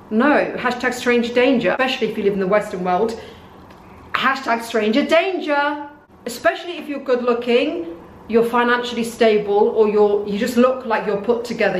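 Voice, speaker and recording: A young woman from North Africa talks through her opinions in a relaxed, casual monologue. Her delivery stays flat and monotone, in a voice she describes as deep. The room rings with echo, and a little noise sits underneath. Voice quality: says she has a deep voice. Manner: says her voice and face are monotone. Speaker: vlogger. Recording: echoey room.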